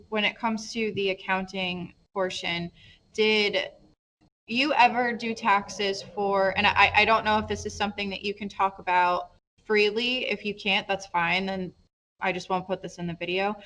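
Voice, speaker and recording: A woman hosting a casual podcast interview, whose first language is Polish, chatty and quick to laugh. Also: medium pitch, a bit bright, a little hiss or hum, poor audio. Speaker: podcast host.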